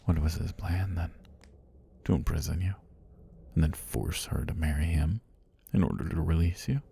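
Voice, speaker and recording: A man voicing an ancient serpent god, calm, predatory yet tender, in a slow, formal, whispery ASMR roleplay with a husky, breathy low voice. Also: heavily acted. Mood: amused, tender. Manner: slow, deliberate, formal speech with whispery delivery. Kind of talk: intimate ASMR roleplay monologue.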